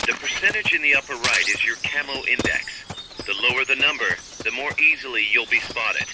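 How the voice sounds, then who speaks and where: An actor voicing a confident male military commander who gives brisk, instructive orders over the radio in a game. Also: mid-range voice, animated, lightly acted. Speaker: mission support on radio. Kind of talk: video game cutscene and tutorial dialogue. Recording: game audio with noisy background.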